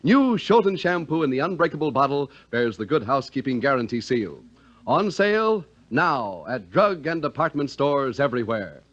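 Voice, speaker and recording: A man working as a vintage radio announcer, with very lively intonation and polished dramatic phrasing. The sound is muffled, like an old broadcast recording. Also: mid-range voice, moderate pace. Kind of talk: 1940s-50s radio announcing, scripted narration and ad.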